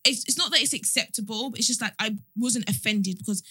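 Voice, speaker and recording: A woman's voice: fairly high pitch, fast, sharp tone, husky, no echo, clean studio sound. A young woman from England in a casual group chat, telling stories animatedly and laughing often.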